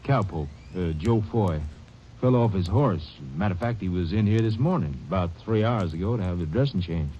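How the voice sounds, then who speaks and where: Small-town doctor, helpful, low mellow voice, old-time radio. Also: male voice, expressive, normal speed, muffled recording, slight background noise. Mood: obliging. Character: country doctor, helpful and matter-of-fact. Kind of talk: 1950s radio drama acting.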